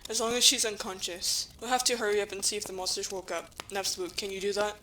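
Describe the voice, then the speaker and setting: A woman's voice: medium pitch, steady tone, fast, sharp tone, slight background noise, lightly acted, rough recording. A woman playing an earnest, determined young human hero who protects friends, in an audio drama. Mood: calm, hopeful. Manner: earnest, firm.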